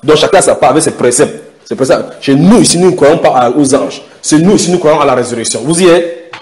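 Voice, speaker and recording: A man's voice: a little high, expressive, a little mellow. A man preaching a sermon, questioning the congregation and stretching out key words for emphasis. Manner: emphatic, questions the audience, drawn-out stresses.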